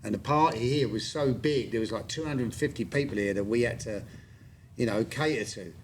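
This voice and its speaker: A man's voice: medium pitch, fairly quick, a little hiss or hum. A man in his sixties hosting a podcast, chatting casually with friends and often stammering into his sentences. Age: 60s. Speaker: podcast host. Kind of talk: casual chat.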